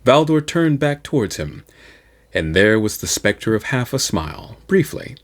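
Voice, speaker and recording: A man giving a dramatic, theatrical narration of science fiction in a deliberate manner, as an amateur audiobook reader. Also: medium pitch, sing-song.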